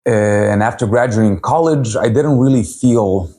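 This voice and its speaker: A man in his thirties from Serbia, telling his personal story as a holistic health coach at a virtual event in an earnest, unhurried way. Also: lowish voice, mellow. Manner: earnest, unhurried, with frequent pauses and fillers.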